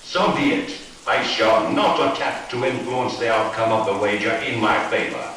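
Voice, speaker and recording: Radio-play Lord of Darkness , male actor: haughty, theatrical, unhurried, heard from a distance in deep echo. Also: a bit bright, lightly acted. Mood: gloating, menacing. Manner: slow, grandiose, theatrical. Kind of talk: horror-comedy radio play acting. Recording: echoey, distant, hissy.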